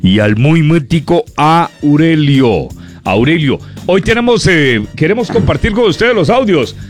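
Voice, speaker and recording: A man hosting a radio comedy show, chatting in a lively way and reading out listener greetings. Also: on the high side, a little slow, soft background music. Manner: lively and chatty, breaks off and repeats words.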